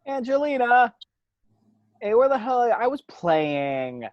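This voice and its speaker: A man's voice: very high voice, sing-song, measured pace, dry recording, muffled recording, exaggerated voice. A man playing a cocky, smarmy movie producer with a crude manner in an amateur screenplay reading. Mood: pleading, annoyed. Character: sleazy, cocky male Hollywood producer.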